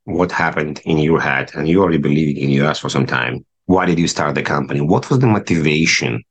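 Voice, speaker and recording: A man's voice: very deep voice, a little fast, breathy edge. A man hosting an interview podcast and asking questions in careful, formal phrasing. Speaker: podcast host. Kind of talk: interview host.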